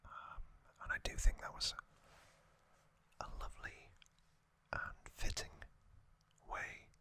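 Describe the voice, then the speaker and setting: Slow, whispering ASMR host. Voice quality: soft breathy whisper, mostly unvoiced. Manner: slow, gentle, lingering pauses between short phrases. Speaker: host of a whispered trivia channel. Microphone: close microphone.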